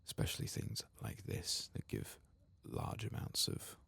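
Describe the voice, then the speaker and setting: A man narrating a game playthrough in a hushed, unhurried ASMR style, often slipping into a whisper. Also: dry recording. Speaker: host of a gaming ASMR channel. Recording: faint background noise.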